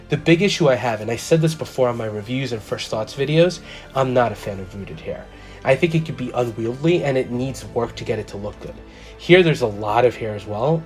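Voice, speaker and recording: A man hosting his own collector channel, talking through a careful review in a measured, methodical way, a little stuffy from a cold. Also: medium pitch. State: slightly congested from a cold. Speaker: toy collector channel host. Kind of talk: scripted product review voice-over.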